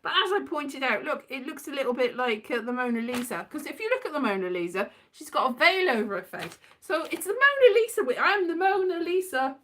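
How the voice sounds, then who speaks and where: A woman's voice: high voice, very animated, fairly quick, hardly any pauses, a bit bright, slight background noise. A woman chatting in a casual, rambling monologue, with a scatterbrained, giggly, self-deprecating manner. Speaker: performer and host, former journalist.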